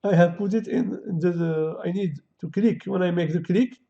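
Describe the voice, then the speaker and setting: Gravelly man teaching code. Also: high voice, fairly even intonation, dark tone. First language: Kabyle or Arabic. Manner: halting non-native English, thinking aloud with many fillers. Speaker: programming tutor. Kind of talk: casual screen-recorded coding tutorial. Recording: dull lo-fi home recording.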